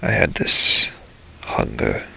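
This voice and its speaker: A man hosting a gaming series, narrating a scripted first-person story in a brooding, halting, dramatic way. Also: pushed and bright, low-quality recording. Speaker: host of a role-play gaming series.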